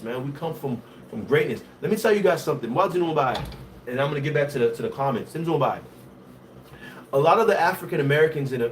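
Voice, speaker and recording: A man from Haiti with a Haitian accent, hosting a casual livestream, talking in an animated way and reading viewer comments. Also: medium pitch, recorded from a distance, slight background noise. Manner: switches between English and Haitian Creole, animated and conversational.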